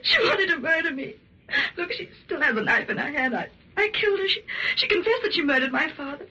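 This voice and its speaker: A woman's voice: sits high, expressive, brisk, pushed and bright. An actress playing a frightened, hysterical woman haunted by her torment, melodramatic and breathless, in old-time radio suspense style. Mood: shaken triumph. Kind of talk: old-time radio suspense acting, first-person narration. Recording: noisy, dull vintage radio recording.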